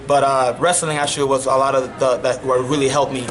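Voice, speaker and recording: Fast-talking Dominican-born coach, flat voice. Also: male voice, mid-range voice. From Dominican Republic. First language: Spanish. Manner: relaxed, many you-knows and restarts. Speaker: college wrestling coach. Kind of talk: post-match interview. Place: wrestling tournament arena. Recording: loud arena crowd behind. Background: crowd noise.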